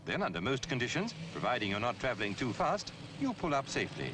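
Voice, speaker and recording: A man reading scripted public information film narration in a measured, instructive, emphatic way, on an old film soundtrack. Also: mid-range voice, big pitch swings, heavy background noise. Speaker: narrator of a road safety film.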